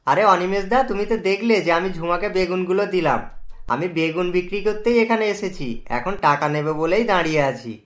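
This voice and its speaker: A man's voice: high-pitched, moderate pace, close to an everyday voice. A man voicing an earnest, hopeful poor village farmer in a cartoon. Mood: plain explaining.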